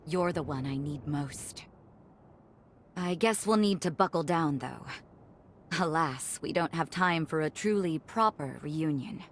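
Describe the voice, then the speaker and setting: Slow-talking, low-voiced flirtatious woman warrior. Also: slight background noise, heavily acted. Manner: unhurried, flirtatious, wry. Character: confident, teasing woman warrior, affectionate with her comrade. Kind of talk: dramatic game cutscene dialogue.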